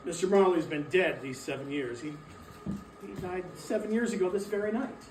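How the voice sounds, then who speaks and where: A bitter, miserly old man acted by a man on stage with a slightly high voice, curt and scornful in a dramatic Victorian reading. He is picked up from a distance in an echoey room. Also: lively intonation, moderate pace, darkish tone, slight background noise. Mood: cold, dismissive. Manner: snapping, dismissive, emphatic.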